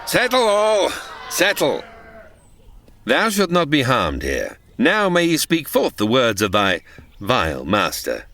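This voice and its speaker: An actor playing a bold, commanding outlaw hero, declaiming in heroic old radio drama style. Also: male voice, mid-range voice, huge pitch swings, slow, a bit bright, slight background noise, full character voice. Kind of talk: old-time radio adventure acting, archaic English.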